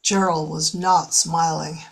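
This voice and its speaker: A woman with a husky voice reading scripted story narration for a radio drama. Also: deep voice, flat delivery, slow.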